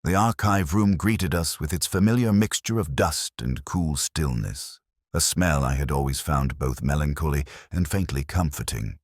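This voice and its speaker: A man reading a scripted first-person detective story in a measured, brooding, reflective manner. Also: very deep voice, lively intonation, no room sound, clean studio sound. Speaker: narrator.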